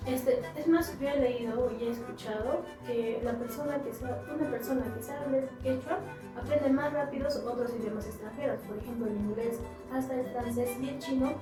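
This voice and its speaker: A woman's voice: high voice, steady tone, moderate pace. Young woman, distant and echoey. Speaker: psychology student. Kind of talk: group discussion. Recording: distant echoey room with music.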